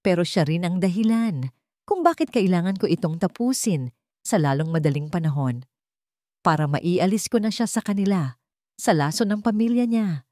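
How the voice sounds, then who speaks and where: A woman's voice: fairly low pitch, expressive, moderate pace, dark tone, no room sound, studio quality, lightly acted. A woman playing a stern, brooding, secretly tender male CEO in a dramatic audio story. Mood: grave, resolute.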